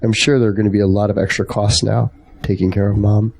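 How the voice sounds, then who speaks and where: Man playing an earnest, gravelly-voiced young son. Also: on the low side, flat delivery, fast, darkish tone. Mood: resigned. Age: young adult. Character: earnest, devoted young adult son, anxious for his family. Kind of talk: audio drama acting.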